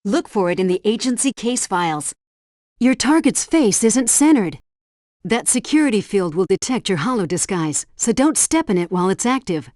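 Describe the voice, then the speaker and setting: A woman's voice: mid-range voice, darkish tone, no room sound, studio quality, lightly acted. A woman playing a composed, helpful spy agency helpdesk operator in a video game, giving scripted instructions in a precise briefing style. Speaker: mission helpdesk guide. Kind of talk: scripted game tutorial narration.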